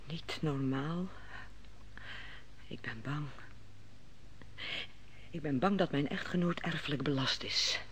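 A woman's voice: low-pitched, slow, long pauses, fairly bright tone. An actress playing a middle-aged queen in an old radio drama, anxious, questioning and commanding. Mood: worried. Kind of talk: 1950s-60s radio drama acting. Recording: old radio play with long reverb and faint hiss.

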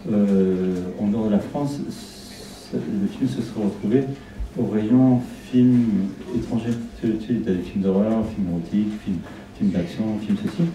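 A man's voice: fairly low pitch, mellow. A middle-aged man from Argentina, whose first language is Spanish, speaking into a handheld mic at a small public event and often repeating words while he thinks. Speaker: film director. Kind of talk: public Q&A talk. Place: small shop event room indoors with audience. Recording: roomy, from a distant camera.

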